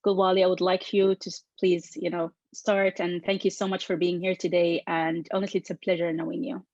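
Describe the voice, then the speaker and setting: A woman facilitator introducing guests formally, speaking in a halting way with many restarts. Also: fairly low pitch, little pitch movement, darkish tone, dry recording, muffled recording. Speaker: event facilitator.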